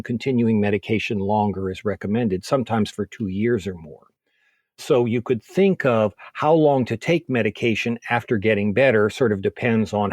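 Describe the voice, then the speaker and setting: A man speaking as a medical expert in an interview, explaining clinical guidance in a measured, methodical way. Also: fairly low pitch, darkish tone, dry recording.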